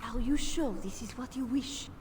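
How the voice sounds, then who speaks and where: A woman's voice: fairly high pitch, normal speed, darkish tone, natural voice. A woman playing a stern military officer in a fantasy video game, speaking with formal authority. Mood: stern, controlled. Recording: distant game audio, faint noise.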